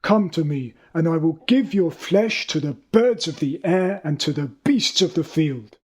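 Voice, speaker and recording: A man voices a scornful giant warrior from a scripture tale. The voice is husky and rough, taunting and menacing in its delivery. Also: fairly high pitch, lively intonation, measured pace. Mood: scornful, threatening. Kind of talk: dramatized scripture reading.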